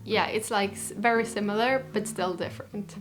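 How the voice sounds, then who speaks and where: A woman's voice: medium pitch, moderate pace, over music. Chatty woman vlogging. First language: Swedish. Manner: enthusiastic, chatty, with frequent restarts. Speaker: fashion vlogger. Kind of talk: casual chat to camera.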